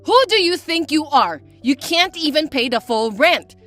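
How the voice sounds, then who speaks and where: Perempuan memerankan adik tiri yang melengking dan sombong. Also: female voice, animated, a little slow, a bit bright, faint music behind. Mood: mocking. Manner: shrill, mocking and bossy. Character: bratty, spiteful young adult stepsister. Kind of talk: voiced text-message drama.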